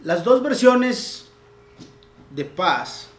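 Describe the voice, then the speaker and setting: A man's voice: high voice, very animated, extremely slow. Man leading a literary talk, echoey room. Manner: reads translated poems aloud with raised, declaiming voice. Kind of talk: literary talk with poetry reading. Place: room in a house.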